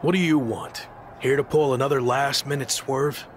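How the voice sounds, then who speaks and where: A man's voice: mid-range voice, fairly even intonation, moderate pace, a little hiss or hum, lightly acted. An actor playing a suspicious, hostile male wrestling rival in a dramatic game cutscene. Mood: wary, hostile.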